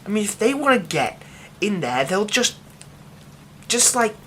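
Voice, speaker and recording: A man voicing a grieving, reclusive young man in an ASMR audio roleplay, speaking in soft half-whispers that break into bitter, scornful outbursts. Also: sits high, very animated, measured pace, slight background noise, heavily acted.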